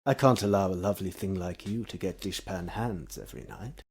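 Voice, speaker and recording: A man playing an earnest Victorian doctor with a dark, suave side, in a husky voice, in stage melodrama style. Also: low-pitched, sing-song, brisk, exaggerated voice. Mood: suave, predatory. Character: exhausted earnest male doctor devoted to science; also his suave alter ego.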